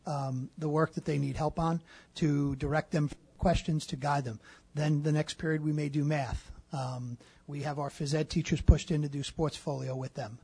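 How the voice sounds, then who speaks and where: A man with a slightly husky, somewhat high voice speaks as a school district official. He answers questions at a public meeting in a measured way, with frequent fillers. The recording is dry, with no room echo. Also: mellow.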